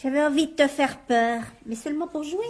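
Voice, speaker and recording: A woman's voice: high-pitched, fairly quick, close to an everyday voice. An actress playing a frightened, secretive fourteen-year-old French schoolgirl in a radio drama, hesitant and pleading. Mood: confessing. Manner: hesitant, repeating words, pleading.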